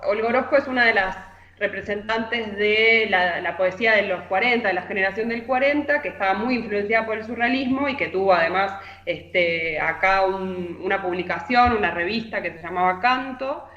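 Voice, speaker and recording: An echoey, slightly dull remote recording of an Argentine woman at a middle pitch. She works as a literary columnist and explains things conversationally, feeling for her words and checking in with little tag questions. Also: normal speed, hardly any pauses, fairly bright tone. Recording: echoey, muffled remote connection.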